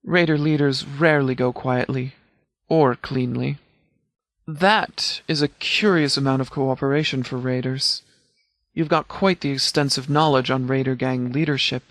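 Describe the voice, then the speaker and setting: Game role: male actor as a composed department commander, dry and precise, mid-pitched male voice, close dry sound. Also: dry recording. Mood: dry, assured. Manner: measured, formal, precise, deadpan. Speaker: game character, law officer. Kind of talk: video game dialogue voice lines.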